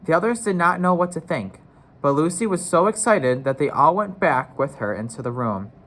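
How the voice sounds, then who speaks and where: A man's voice: a little high, lively intonation, normal speed, fairly bright tone. Man reading a storybook aloud. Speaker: story reader. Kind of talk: read-aloud storybook narration.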